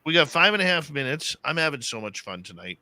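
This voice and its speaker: A man's voice: a little high, fast talker, slight background noise. A man hosting a late-night talk radio show, upbeat and chatty with his guest. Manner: upbeat, excitable sign-offs.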